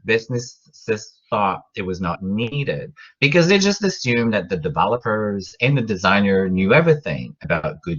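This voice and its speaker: A man's voice: fairly low pitch, sharp tone, dull audio. A middle-aged man from Quebec with a French-Canadian accent, French his first language, giving a college class online with many fillers and restarts. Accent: Québécois French. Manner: rambling, restarts and fillers, rising emphasis on key words. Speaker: college professor.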